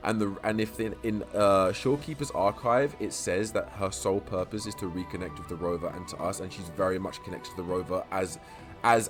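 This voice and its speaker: A man talking through game-lore theories in a casual vlog. He stutters and repeats himself, restarting words and rambling on quickly. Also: lowish voice. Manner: talks quickly. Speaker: YouTube lore creator.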